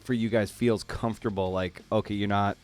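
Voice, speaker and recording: A man's voice: fairly low pitch, fairly even intonation, fairly quick, a little mellow, soft background music. A man hosting a podcast, talking informally in a casual interview style with loose phrasing. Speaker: podcast host.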